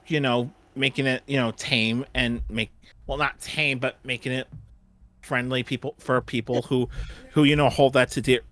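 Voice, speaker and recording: A man chatting casually about past work, halting and circling back over his phrases. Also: medium pitch, a bit bright, no echo. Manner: halting, repeats phrases as he goes. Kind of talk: casual retrospective discussion.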